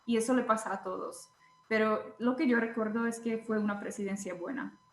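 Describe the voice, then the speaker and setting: A young woman with a Czech accent, a teacher and blogger answering interview questions in a hesitant way, often pausing to search for words. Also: fairly high pitch, steady tone, echoey room. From Czech Republic. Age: young adult.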